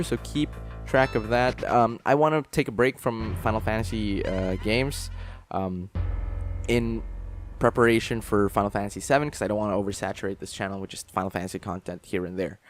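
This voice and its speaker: A man's voice: fairly low pitch, brisk. A young man hosting a game playthrough, talking casually about the game in a rambling way, full of fillers and restarts. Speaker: let's play host. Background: game music.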